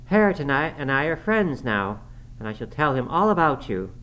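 Man putting on a higher, defiant young woman. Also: moderate pace, a bit bright, close to an everyday voice. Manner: pert, insistent, challenging. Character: bold, defiant young woman, sharp-tongued and reckless. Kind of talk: audiobook character acting. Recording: clearly noisy recording.

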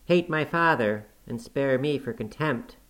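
A man's voice: sits high, fairly even intonation, brisk, vocal fry, voice pushed up, poor audio. A man voicing a sickly, frightened young man who pleads tearfully. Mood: pleading. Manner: tearful, imploring.

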